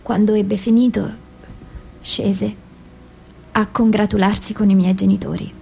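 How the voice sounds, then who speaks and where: An actress playing a female abuse survivor, giving grave testimony in measured phrases with deliberate pauses, as in radio theatre. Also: medium pitch, flat delivery, creaky, mellow, breathy edge. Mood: grave, sorrowful.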